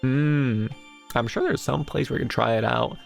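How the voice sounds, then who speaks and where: A man with a gravelly, fry-touched voice hosts a loose, casual chat, laughing easily as he asks his questions. He sounds like he's on a home microphone, with faint music underneath. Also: medium pitch, normal speed. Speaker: interviewer.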